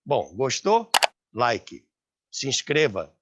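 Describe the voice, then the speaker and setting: A man's voice: medium pitch, huge pitch swings, slow pace, dull audio, heavy background noise. A man with a Brazilian accent hosting and narrating a documentary-style history, told as storytelling. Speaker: host and narrator of a radio history series.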